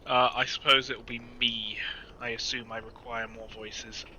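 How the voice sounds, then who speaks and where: A man of average pitch chats casually during a story reading. His voice is strikingly bright and sharp, and there is noisy ambience behind him. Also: level tone, moderate pace. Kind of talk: casual chat.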